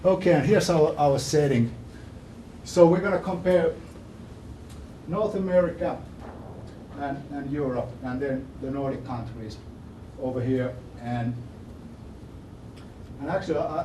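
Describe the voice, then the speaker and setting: A man with a strong Finnish accent giving an informal academic lecture, haltingly, often repeating words and phrases. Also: medium pitch, a little mellow, slight background noise, low-quality recording. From Finland. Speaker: visiting scholar giving a lecture.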